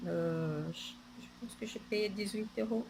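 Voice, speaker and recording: A woman's voice: medium pitch, measured pace, background noise. A woman with a Portuguese accent, a native Portuguese speaker, chatting casually on her knitting podcast, hesitant, with many fillers and restarts.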